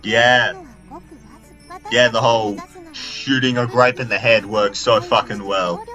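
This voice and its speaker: A man's voice: lowish voice, steady tone, takes it slowly, sharp tone, faint music behind. A man giving unscripted, rambling gameplay commentary in an irreverent, sweary way. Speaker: video creator.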